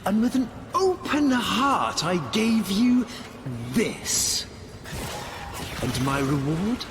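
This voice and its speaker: An actor playing a cold, aggrieved, megalomaniac villain lord in a video game cutscene, speaking in a pompous, bitter, theatrical way. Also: male voice, high-pitched, very animated, background noise, heavily acted. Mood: bitter, wounded. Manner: pompous, bitter, slow and deliberate. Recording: loud music under the voice.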